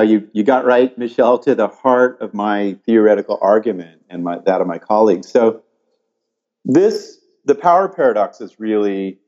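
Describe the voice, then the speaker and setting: An older man from California, explaining research in an animated, thoughtful way and often restarting his sentences. His voice sits low and sounds mellow. The audio is dull and lo-fi. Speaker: psychology professor and author. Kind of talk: interview answers.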